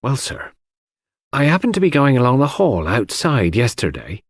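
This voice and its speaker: Audiobook: old loyal housemaid, played by a man, old-fashioned and deferential, with indignant edge, lifted pitch, close dry sound. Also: big pitch swings, moderate pace, no room sound. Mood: deferential, confiding.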